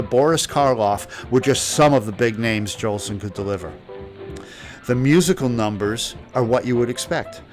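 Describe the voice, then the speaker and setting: Man narrating over music. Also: mid-range voice. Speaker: host, reviewer of old-time radio. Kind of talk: scripted narration, review commentary.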